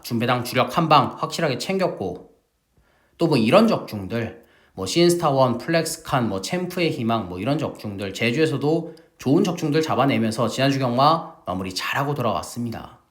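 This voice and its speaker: A man's voice: mid-range voice, fairly quick. A middle-aged man hosting a horse racing tips broadcast, talking with emphatic, salesman-like energy to his viewers and just getting over a sore throat. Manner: lively, emphatic salesman-like delivery, addresses viewers as family. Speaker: horse racing tipster host.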